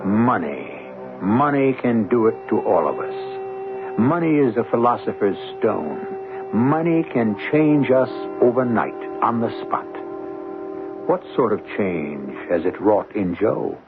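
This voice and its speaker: An actor hosting a 1970s radio mystery as an urbane, philosophical storyteller, with deliberate, wry, literary delivery. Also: male voice, fairly low pitch, animated. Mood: wry, portentous. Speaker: host and narrator of radio mystery show. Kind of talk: theatrical scripted narration, 1970s radio. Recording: phone-like vintage radio recording with music.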